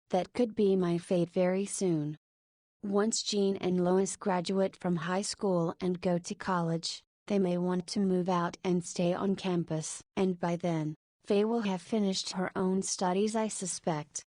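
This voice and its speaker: A woman voicing a 40-year-old single mother and school principal in a visual novel, who muses out loud to herself. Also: mid-range voice, no echo, clean studio sound, natural voice. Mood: friendly. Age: 40s.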